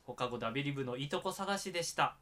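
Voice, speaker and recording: A man's voice: medium pitch, lively intonation, very fast, heavy background noise. A young man hosting a casual podcast chat in a joking, self-deprecating manner. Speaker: podcast host, leads the show. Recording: music behind the voice. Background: music.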